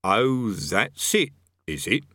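A man voicing a smug, rough-spoken army sergeant who gloats with cheerful menace. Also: on the low side, big pitch swings, slow, a bit bright, no room sound, studio quality, full character voice. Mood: triumphant. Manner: coarse colloquial speech, gloating, cheerful menace.

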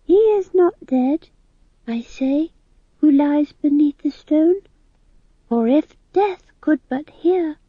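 A man's voice: extremely high pitch, expressive, takes it slowly, no echo, voice pushed up, heavily acted. A man reading an audiobook, voicing a small boy who recites solemn verse like a grand old actor. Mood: solemn declaiming. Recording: dull band-limited audio.

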